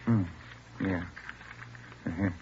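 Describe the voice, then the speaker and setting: An actor playing a gruff, plainspoken police detective sidekick in a 1940s radio crime drama. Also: male voice, deep voice, fairly even intonation, slow, darkish tone. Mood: easygoing. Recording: noisy muffled old radio recording.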